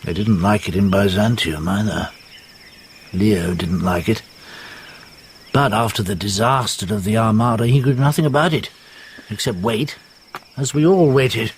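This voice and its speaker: An older British actor playing a probing yet genial old envoy in a radio drama. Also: male voice, on the low side, moderate pace, long pauses, slight background noise, natural voice. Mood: probing, grave.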